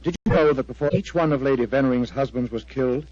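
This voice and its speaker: An actor playing an agitated, earnest clergyman in 1940s radio style, with halting, formal, intense delivery. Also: mid-range voice, darkish tone, no room sound, close to an everyday voice. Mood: troubled. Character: agitated, earnest male clergyman, solemn and troubled. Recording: muffled, dry 1940s radio recording.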